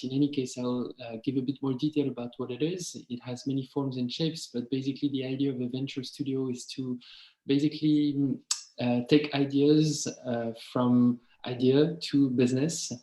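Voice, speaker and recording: A man in his thirties from France with a French accent, giving a career talk on a video call and pausing often with ums and uhs. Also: medium pitch, dark tone, roomy sound, poor audio. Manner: hesitant, many ums and uhs. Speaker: webinar speaker.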